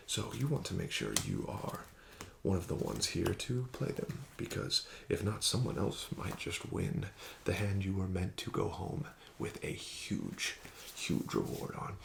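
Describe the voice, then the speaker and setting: A man doing an ASMR roleplay as a casino card dealer, talking slowly and softly with smug, teasing patter that slips into whispers. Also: on the low side, vocal fry. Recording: audible background noise.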